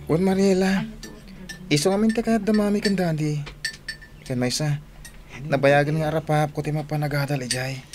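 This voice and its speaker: A man's voice: fairly high pitch, steady tone, moderate pace, pauses often, a little mellow, lightly acted. A man playing an easygoing young student in a radio drama, with a Filipino accent. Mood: easygoing, fond. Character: easygoing young male student, fond of his friends.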